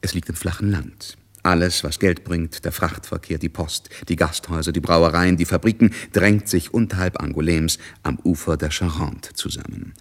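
A man with a low voice narrates a literary radio drama. His delivery is measured and scripted, in the manner of a storyteller. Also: steady tone.

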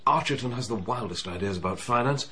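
An actor playing an older, complacent upper-class English banker in a radio drama, genial, assured and bluff, with a well-bred English accent. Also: male voice, medium pitch, expressive, fast, sharp tone, slight background noise. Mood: firm, dismissive.

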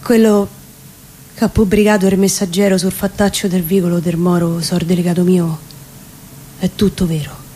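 A woman's voice: fairly low pitch, little pitch movement, dark tone, lightly acted, poor audio. Woman reciting as an anguished Roman working man confessing. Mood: sombre confession. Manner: pleading, halting, emotive delivery. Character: distraught working-class Roman man confessing to police his brother's killing. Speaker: reciting actress. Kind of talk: dramatic recited monologue in dialect verse. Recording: live radio performance with background noise.

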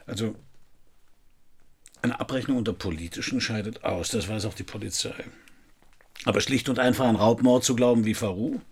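An actor playing a wry, world-weary private detective who narrates a crime radio play in a dry, laconic, gravelly voice. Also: male voice, on the low side, moderate pace, long pauses. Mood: musing.

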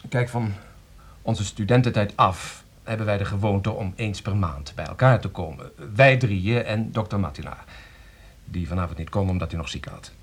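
An actor playing an educated man who anxiously defends his friend, hesitant but persuasive, with a husky voice, in a radio drama. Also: on the low side, moderate pace. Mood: explaining. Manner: hesitant, explanatory, pleading. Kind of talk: Dutch radio drama acting.

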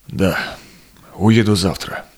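An actor playing an honourable, earnest explorer and tender suitor in a verse audio drama, with a measured delivery. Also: male voice, deep voice. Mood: resigned.

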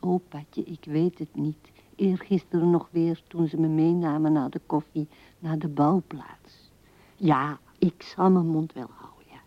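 Low, slow, murmuring old widow. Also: female voice, dry recording. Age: older. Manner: murmuring, often dropping into breathy whispers. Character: pensive seventy-year-old widow musing at her husband's grave. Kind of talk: 1970s radio drama monologue. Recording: dull vintage radio recording.

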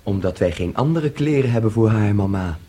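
An actor playing a naive, eager, childlike son who speaks simply and trustingly in a radio play. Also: male voice, on the low side, expressive, brisk, warm tone.

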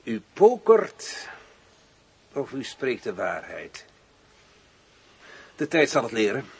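An actor playing a wary, suave general in a radio drama, speaking in a theatrical, insinuating way. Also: male voice, mid-range voice, huge pitch swings, takes it slowly, vocal fry, husky, heavily acted. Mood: cunning, businesslike. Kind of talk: Dutch radio drama acting. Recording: noisy old radio drama recording.

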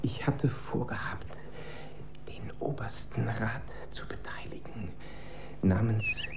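A man's voice: lowish voice, slow pace, in a whisper. An actor playing a timid, dreamy young emperor in a German radio drama, formal, hesitant and pleading as he defers to his mother. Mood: hushed, anxious. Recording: dull recording with clear noise.

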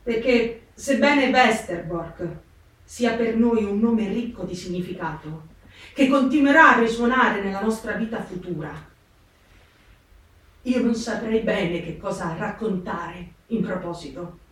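A woman's voice: mid-range voice, expressive, a little slow, a bit bright. An actress playing a self-doubting, wry woman writing from a wartime camp, in a dramatic literary reading. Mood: hesitant, musing. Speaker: stage actress. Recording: very echoey, distant, music behind. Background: guitar music.

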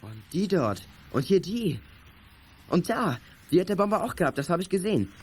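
Schoolboy detective in a radio play, lightish pitch, animated delivery, earnest and keen. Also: male voice, moderate pace, breathy edge, full character voice. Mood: eager, confident. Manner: eager, polite, confident. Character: eager, earnest schoolboy amateur detective, teenage male. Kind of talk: 1980s children's audio drama acting.

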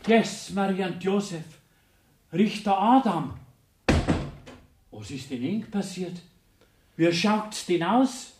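A nosy court clerk in a Bavarian dialect radio play. The voice sits high and moves in lively leaps, drawing out questions with sly, needling mock concern. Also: male voice, noisy background. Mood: surprised. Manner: probing, insinuating, drawn-out.

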